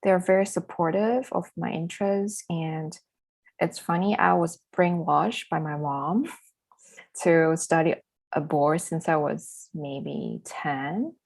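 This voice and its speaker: Podcast guest: young woman, low pitch, loose and chatty. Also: slow, darkish tone. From southern China. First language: Chinese. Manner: chatty, laughs often, loose grammar with fillers. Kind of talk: casual interview chat.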